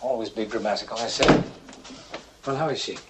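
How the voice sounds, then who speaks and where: An actor playing a retired older criminologist in a 1960s television drama, speaking in a dry, wry manner with probing questions. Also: male voice, mid-range voice, brisk, heavy background noise, lightly acted.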